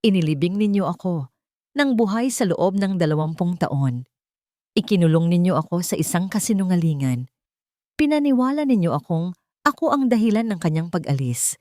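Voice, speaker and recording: Woman as betrayed adult child, accusing, deliberate, bitter, dramatic storybook delivery, dry recording. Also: lowish voice, animated, dark tone, no room sound, lightly acted. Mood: anguished anger. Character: wronged adult child, bitter, coldly accusing their mother.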